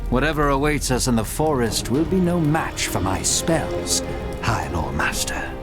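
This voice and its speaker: A man's voice: medium pitch, very animated, a little slow. A man playing a confident young elven prince and mage in a fantasy game, proud, earnest and formal. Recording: music behind the voice.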